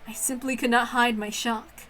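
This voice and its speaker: Reserved servant girl played by a woman reader, low and soft, formal, wistful visual novel narration. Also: steady tone, normal speed, slight background noise, lightly acted. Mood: wistful, restrained.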